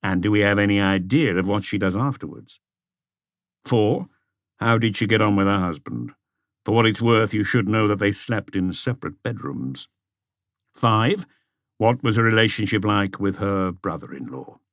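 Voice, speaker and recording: A man plays a seasoned police chief in a detective audiobook. He speaks in a low voice, terse and methodical, commanding and businesslike, recorded dry. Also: lively intonation, moderate pace, a bit bright, no room sound. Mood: brisk, commanding. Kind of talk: audiobook character dialogue.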